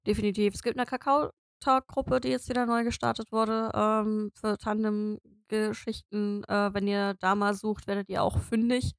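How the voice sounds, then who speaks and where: A woman's voice: mid-range voice, flat delivery, normal speed, hardly any pauses, no room sound. A woman in her twenties, a native German speaker, co-hosting a podcast in casual chat, full of fillers and laughing often. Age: 20s. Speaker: podcast co-host.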